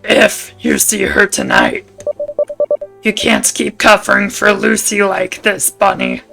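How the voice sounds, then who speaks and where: Woman doing a gravelly, gruff male landlord. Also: music in the background. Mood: stern. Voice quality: gravelly, creaky put-on low voice. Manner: slow, stern, scolding. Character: gruff, cranky male landlord, blunt but concerned.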